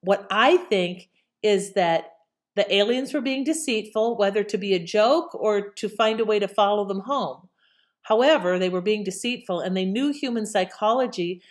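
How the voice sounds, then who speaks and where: A woman's voice: medium pitch, moderate pace. A woman hosting with casual, inquisitive commentary addressed to viewers. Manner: conversational, inquisitive. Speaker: channel host.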